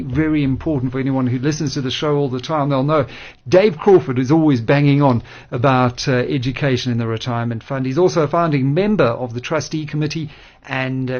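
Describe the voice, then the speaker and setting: A man hosting a radio interview, speaking in measured, formal phrases with hesitant pauses. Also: mid-range voice, darkish tone, muffled recording. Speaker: radio show host interviewing a guest.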